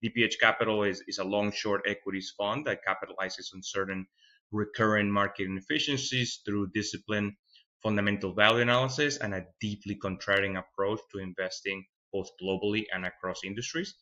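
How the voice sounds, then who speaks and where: A man from Venezuela in his thirties talks through a podcast interview at a low pitch, with a bright, forceful edge to his voice. He speaks thoughtfully, often doubling a word as he gathers his next thought. The recording has a muffled, lo-fi quality. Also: fairly even intonation, brisk. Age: 30s. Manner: thoughtful, repeats words while finding them. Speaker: fund manager guest.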